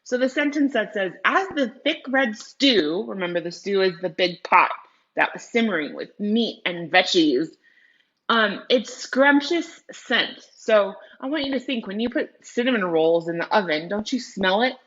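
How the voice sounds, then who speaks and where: A woman's voice: mid-range voice, lively intonation, a little slow, fairly bright tone, highs cut off. A woman teacher reading a picture book aloud to children, explaining words and often asking the young listeners questions directly. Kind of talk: read-aloud lesson with vocabulary explanations and questions to young viewers.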